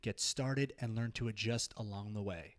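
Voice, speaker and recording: A man's voice: fairly low pitch, moderate pace. A man hosting a podcast interview in a casual, informal way. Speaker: podcast host.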